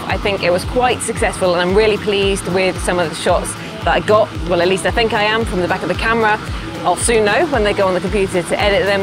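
A woman, talking casually to camera as a travel photographer, upbeat and chatty, on a lapel mic outdoors. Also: mid-range voice. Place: outdoors by a roadside at dusk. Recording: background music playing.

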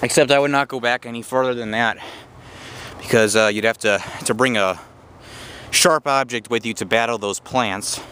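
A man's voice: medium pitch, normal speed, sharp tone. A man narrating as he walks through overgrown woods, talking into a handheld camera mic with rambling restarts. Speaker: vlogger exploring abandoned railroad tracks. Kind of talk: handheld walking commentary. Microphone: handheld camera mic while walking. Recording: outdoor noise behind the voice.

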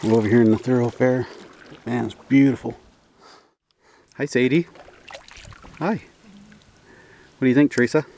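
A man narrating his canoe trip outdoors in a relaxed, rambling way with many fillers, recorded on a camera. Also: medium pitch, unhurried, gravelly, pauses often, a little hiss or hum. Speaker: outdoor vlogger.

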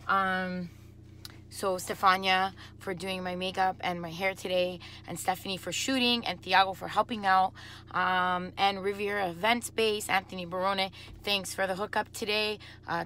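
Casual female vlogger, thirties, bright voice, phone-recorded. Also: medium pitch. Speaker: singer vlogging. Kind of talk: casual selfie vlog chat. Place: small indoor room at home, later inside a car. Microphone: phone at arm's length.

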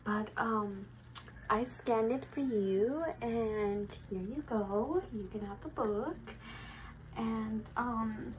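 Slow, soft-spoken woman in noisy ASMR roleplay. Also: on the high side. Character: new female library worker, helpful and hesitant.

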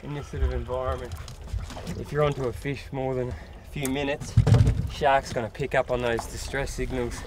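Excitable fisherman on a boat. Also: mid-range voice, moderate pace. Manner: exclaims and laughs, explains fishing tactics. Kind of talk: excited outdoor commentary. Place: small open boat at sea, outdoors. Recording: loud wind, water and motor noise.

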